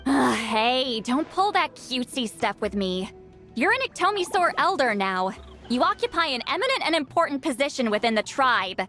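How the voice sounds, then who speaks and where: A woman's voice: high-pitched, very animated, moderate pace, pushed and bright, soft background music, full character voice. A woman voicing a blunt, grumbling, centuries-old shaman in a scripted video game. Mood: testy. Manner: brusque, grumbling, casual. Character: centuries-old female shaman, youthful-sounding, blunt and testy.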